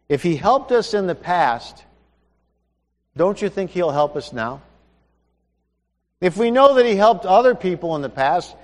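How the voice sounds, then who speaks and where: A man with a high voice preaches a sermon, talking to his listeners like a neighbor and asking them one question after another. His voice carries through a hall with a long reverb. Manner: conversational preaching with rhetorical questions.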